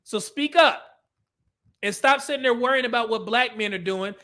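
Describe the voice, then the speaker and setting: Fields: Sex: man. Role: host. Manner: bright, ranting. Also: extremely high pitch, normal speed. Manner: blunt, profane, preachy. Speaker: commentary host. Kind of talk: ranting commentary over video clips.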